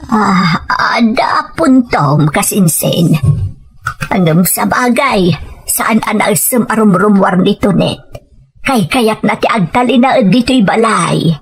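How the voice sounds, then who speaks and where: A woman playing an ailing older mother in a radio drama, weary, gentle and trusting. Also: mid-range voice, lively intonation, slow, breathy edge, heavily acted. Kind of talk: Ilocano radio drama acting.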